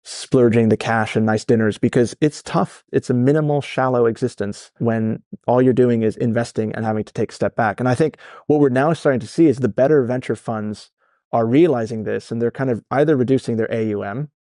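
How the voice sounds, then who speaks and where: A man's voice: mid-range voice, brisk, dark tone, no echo, studio quality. A man in his thirties, Dutch-born and raised in California, with an American accent, talking candidly and animatedly in an interview, rambling with fillers. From Netherlands, raised in California. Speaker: startup founder, guest. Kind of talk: casual interview talk.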